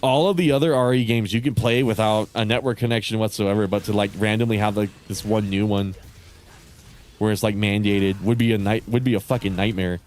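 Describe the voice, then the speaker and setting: A man's voice: lowish voice, steady tone, brisk, a little mellow, slight background noise. A man streaming a game and chatting casually, sounding congested and nasal, rambling with frequent swearing. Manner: rambling, swears often, laughs between thoughts. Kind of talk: casual chat while livestreaming a game.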